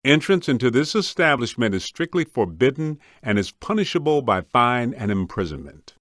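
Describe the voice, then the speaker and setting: Lively, wisecracking ghost hunter guy. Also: mid-range voice, normal speed, no room sound, studio quality. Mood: wry, grumbling. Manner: wry, streetwise, complaining. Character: wisecracking working-man ghost hunter, grumbly but game. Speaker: video game voice actor. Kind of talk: scripted game voice lines.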